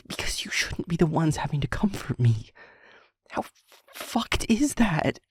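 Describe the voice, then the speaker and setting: Halting, husky, guilt-ridden young man, acted. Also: fairly high pitch, big pitch swings, no echo, in a whisper, studio quality, full character voice. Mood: distraught, self-blaming. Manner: halting, stammering, earnest, heavy pauses. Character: guilt-ridden, self-doubting, tender-hearted young man comforting a friend. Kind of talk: intimate audio roleplay drama, emotional monologue.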